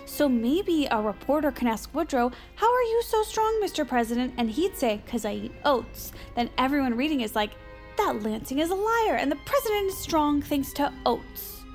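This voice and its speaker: An actress playing a timid but eager young movie fan in a comedic audio drama, hesitant, rambling and earnest. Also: female voice, high-pitched, expressive, normal speed, faint music behind. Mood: excited. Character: eager, naive, timid young woman obsessed with movie magazines.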